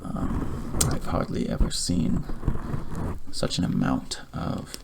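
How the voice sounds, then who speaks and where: A man speaking softly in a breathy half-whisper, gentle and courteous, as a barber in an ASMR roleplay. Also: heavy background noise. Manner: gentle, courteous, unhurried reassurance. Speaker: ASMR creator playing a night-shift barber.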